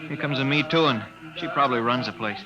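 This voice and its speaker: An actor playing a polite, helpful companion in a 1950s radio drama. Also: male voice, mid-range voice, fast, lo-fi sound, lightly acted. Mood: helpful, puzzled.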